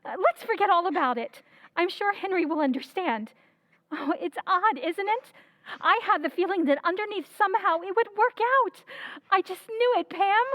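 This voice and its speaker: A woman's voice: very high voice, normal speed, fairly bright tone, dry recording. An actress playing a wary newlywed woman in an old-time radio drama, clipped and guarded in speech. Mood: warm. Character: wary newlywed woman, cool then warm. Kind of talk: 1940s radio acting.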